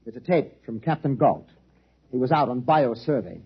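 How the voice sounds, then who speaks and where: An intense scientist in vintage radio science fiction. He explains insistently in a rough-edged voice of medium pitch. The recording sounds muffled and old. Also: animated, moderate pace. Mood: insistent, grave. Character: intense, urgent male scientist reasoning out a threat. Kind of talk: 1950s radio drama acting. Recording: dull 1950s radio recording.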